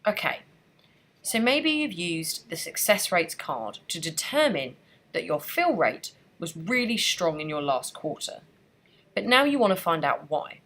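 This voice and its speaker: Husky woman narrating a software tutorial. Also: fairly low pitch, sing-song, a little slow. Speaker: software trainer. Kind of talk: scripted screencast narration.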